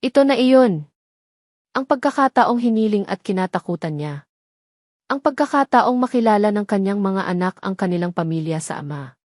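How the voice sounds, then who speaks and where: A woman reads a scripted romance as a dramatic storyteller. Her voice sits at a middle pitch. The sound is close and dry. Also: lively intonation, a little fast, dry recording.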